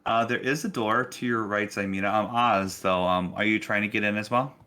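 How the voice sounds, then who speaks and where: A man's voice: lowish voice, steady tone, fairly quick, sharp tone. A man narrating a tabletop roleplaying game as game master in a casual, conversational way.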